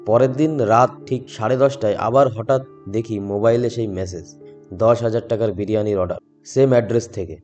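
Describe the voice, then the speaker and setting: Male first-person horror narrator, soft dark tone, music underneath. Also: on the low side, moderate pace. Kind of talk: scripted horror story narration. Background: background music.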